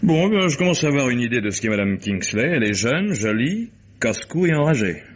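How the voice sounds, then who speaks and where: An actor playing a wry, unflappable private detective in a French radio mystery, dry and sardonic in manner. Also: male voice, medium pitch, big pitch swings, fast talker. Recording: dull, noisy vintage radio recording.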